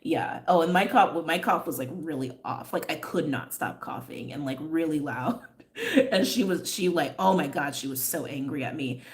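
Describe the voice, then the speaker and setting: A young woman with a slightly wheezy voice from asthma, chatting candidly on a livestream and reading out viewer comments, full of fillers and quick laughs. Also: sits low. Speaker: tarot reader and livestream host.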